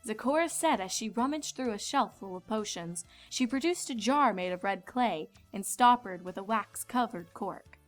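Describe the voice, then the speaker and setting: Lady narrator reading a story, medium pitch, soft music under her. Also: lively intonation, moderate pace, hardly any pauses. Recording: music behind the voice.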